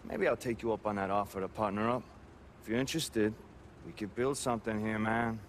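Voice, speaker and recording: An actor playing a scrappy, laid-back weed-farming hustler with loose, slangy speech in a video game. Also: male voice, fairly low pitch, steady tone, fairly quick, slight background noise, lightly acted. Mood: eager, casual. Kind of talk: video game cutscene acting.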